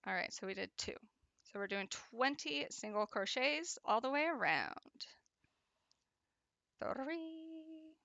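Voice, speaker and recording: Young woman craft streamer with a bright, gravelly voice, chatty and laughing often, in a dry, close sound. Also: medium pitch, dry recording. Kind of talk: casual chat while crocheting and reading chat.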